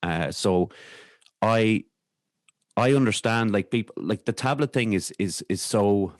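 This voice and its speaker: An Irish man speaks in a low voice as an interview guest. He tells a personal story reflectively, often restarting and repeating words. The sound is dry, with very little room on it. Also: a little slow, dry recording. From Ireland. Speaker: podcast guest.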